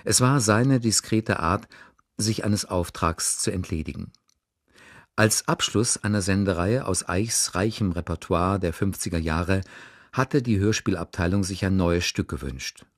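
A man's voice: low-pitched, a little slow, no echo, clean studio sound. A man presenting a radio broadcast, reading a scripted introduction in a formal, informative way.

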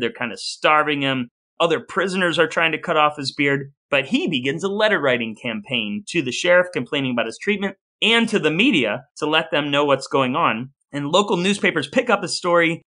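American male podcast co-host, forceful bright tone, casual storytelling with wry, dramatic touches. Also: on the high side.

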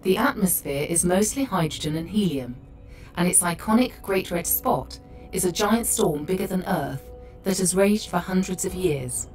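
A woman voicing a calm spaceship computer tour guide, giving measured, factual educational narration. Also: fairly low pitch, natural voice. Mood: calm, informative. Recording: slightly distant voice under music. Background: music.

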